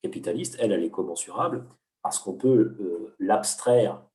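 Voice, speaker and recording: A man's voice: fairly low pitch, animated, normal speed, darkish tone. Guy teaching econ in an echoey room, kinda far from the mic, lots of uhs. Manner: didactic, many hesitations and restarts. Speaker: economics teacher, guest. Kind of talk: explanatory interview talk. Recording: roomy, from a distance.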